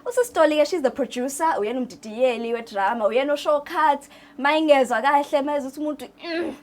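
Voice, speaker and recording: A woman's voice: high-pitched, fast talker. A South African woman, a native Zulu speaker, hosting a conversational interview in a studio. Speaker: TV host interviewing radio drama staff. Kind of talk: conversational magazine-show interviewing. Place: radio drama studio.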